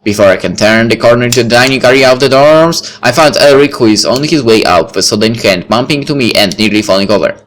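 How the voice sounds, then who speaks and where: A man's voice: medium pitch, animated, fast, hardly any pauses, a bit bright, slight background noise. A man reading a first-person story aloud as narration, with the occasional stumble. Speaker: video creator reading a visual novel aloud. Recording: faint music behind the voice. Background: faint music.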